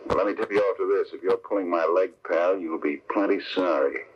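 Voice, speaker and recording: A tough hoodlum played by a male actor with a low voice, speaking in clipped, slangy lines and nursing a grudge. It is old-time radio crime drama, on a dull, noisy broadcast recording. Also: brisk, close to an everyday voice. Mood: suspicious, threatening. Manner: curt, slangy tough-guy talk. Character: tough, vengeful male ex-convict hoodlum out for revenge. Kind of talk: 1940s radio crime serial acting.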